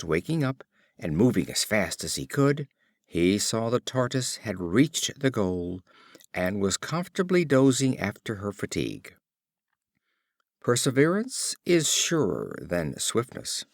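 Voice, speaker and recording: A man reading short fables as an audiobook narrator, with measured, expressive storytelling phrasing. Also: mid-range voice, no echo, clean studio sound. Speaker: audiobook reader.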